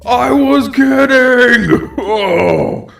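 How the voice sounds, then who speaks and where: A man's voice: medium pitch, expressive, measured pace, gravelly. A man voicing a big, goofy, protective animatronic bear in a cartoon comedy skit, jokey and theatrical. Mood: teasing, hammy. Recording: roomy echo, music behind.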